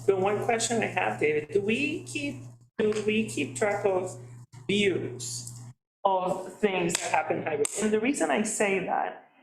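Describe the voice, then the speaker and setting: A woman on a library committee who tells anecdotes in an animated way and acts out her own earlier reactions. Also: medium pitch, a little slow, echoey room, noisy background. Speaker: committee member. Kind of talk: committee meeting discussion.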